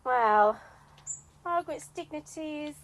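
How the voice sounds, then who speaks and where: A woman's voice: high voice, animated, a little slow. A woman playing a teasing, theatrical, gossipy British tennis club member in an amateur radio comedy. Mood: chirpy, curious.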